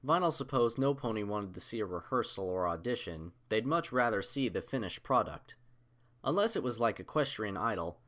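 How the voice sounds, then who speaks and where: Lowish-voiced man narrating a story. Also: expressive, moderate pace, fairly bright tone. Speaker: audiobook narrator.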